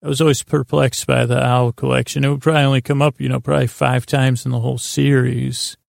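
A man hosting a sleep podcast, rambling in a halting, meandering way with lulling, soothing tones. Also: mid-range voice, little pitch movement, dry recording, studio quality. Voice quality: creaky, gravelly. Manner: meandering, hesitant, halting, trailing off into tangents. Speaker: podcast host.

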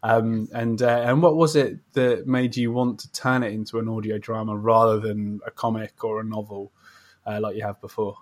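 A man hosting a casual interview, putting his questions in a halting way with repeated words. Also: fairly low pitch, flat delivery. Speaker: podcast host, interviewer.

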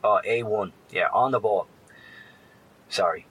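An actor playing a weary, wry professor out in a wasteland in a horror audio drama, earnest, self-deprecating and halting. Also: male voice, on the low side, a bit bright, slight background noise, low-quality recording. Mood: forced cheer.

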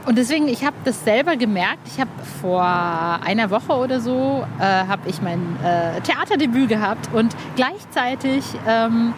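Casting: female podcast interviewer, fairly high voice, informal and searching, noticeable background noise. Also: lively intonation, unhurried, few pauses. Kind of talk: casual interview chat. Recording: clear urban background noise.

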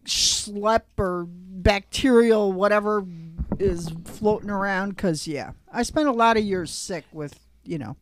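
A man hosting a podcast in a studio, joking and reacting quickly in casual chat. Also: high-pitched, dry recording. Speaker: podcast host. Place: recording studio.

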